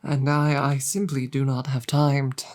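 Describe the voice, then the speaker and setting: Woman doing a shy proper dragon gf for audio roleplay, really deep voice, slow n stammery, super apologetic and loving. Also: little pitch movement, a little mellow. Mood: flustered, tender. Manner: halting, stammering, formal and apologetic. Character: embarrassed, proper, affectionate female dragon girlfriend. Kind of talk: roleplay audio drama addressed to the listener.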